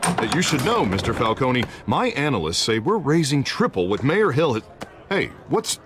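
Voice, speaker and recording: An actor playing an affable, persuasive politician in a video game, with a smooth-talking, coaxing manner. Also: male voice, mid-range voice, big pitch swings, moderate pace, background noise, close to an everyday voice. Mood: uneasy. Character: affable, persuasive male politician, eager to please.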